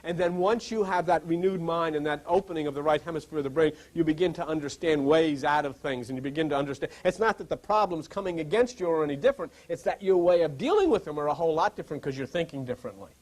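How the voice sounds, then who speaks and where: A man's voice: fairly high pitch, fast talker, few pauses. A man giving an impassioned metaphysical sermon as a spiritual teacher, exhorting conversationally and repeating phrases for emphasis.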